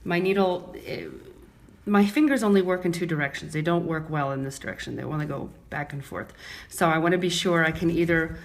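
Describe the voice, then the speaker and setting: An American woman instructor explaining her technique during a live demonstration, speaking casually with clear, deliberate enunciation. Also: low voice. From Brooklyn, New York, United States. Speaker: microblading instructor and artist.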